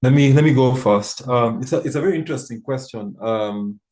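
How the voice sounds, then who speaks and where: A man's voice: mid-range voice, brisk, a little mellow. A man in his fifties from Kenya, telling stories thoughtfully with frequent restarts on a church panel. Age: 50s.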